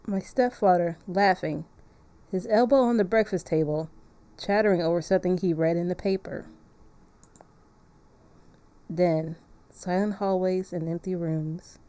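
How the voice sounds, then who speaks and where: A woman reading aloud as a melancholy gothic painter narrating haunted memories in a visual novel, measured and reflective. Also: fairly low pitch, warm tone, lightly acted.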